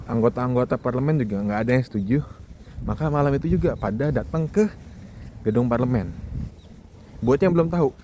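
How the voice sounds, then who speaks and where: A man's voice: mid-range voice, fairly quick, pauses often, dark tone, a little hiss or hum. A young man from Indonesia with an Indonesian accent, giving a casual, conversational monologue to viewers and tossing questions at them. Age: young adult. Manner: informal, conversational, addresses the audience with questions. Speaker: vlogger, foreign student.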